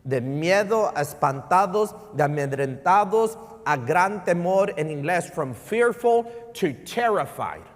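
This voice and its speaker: Brief: middle-aged Texan Spanish-accented male preacher, high voice, church reverb. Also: takes it slowly, few pauses. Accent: Texan Spanish with English code-switching. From Houston, Texas. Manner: animated preaching, mixes Spanish and English. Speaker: pastor. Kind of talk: sermon. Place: church sanctuary.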